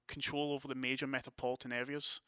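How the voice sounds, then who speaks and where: A man's voice: mid-range voice, flat delivery, quick pace, sharp tone, clean tone, no room sound, studio quality, natural voice. A man playing a commanding, decisive vampire prince in an audio drama, with a formal, authoritative manner. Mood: firm, assured.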